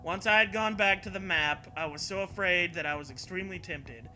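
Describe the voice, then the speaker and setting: A man with a fairly high voice reads a scary story aloud in a comedy show. He breaks into mocking, sweary commentary. Music is clearly audible behind him. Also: brisk, bright, forceful tone, rough recording. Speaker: comedy show host reading internet horror stories. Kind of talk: dramatic reading mixed with joking commentary.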